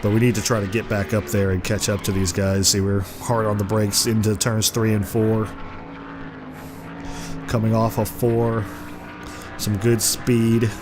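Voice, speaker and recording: A man with a hoarse, congested voice from the flu, giving casual play-by-play over a racing video game. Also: on the low side, a little slow, pauses often. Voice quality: rough, congested voice. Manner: excited play-by-play, rising sharply at crashes. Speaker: gaming commentator. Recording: game music and car noise underneath. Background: racing game engine noise and music.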